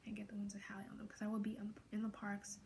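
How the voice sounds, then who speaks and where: Teen girl fan vlogger, soft half-whisper, gravelly fry, flat gushing delivery, noisy recording. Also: mid-range voice, rapid-fire. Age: late teens. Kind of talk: casual fan vlog.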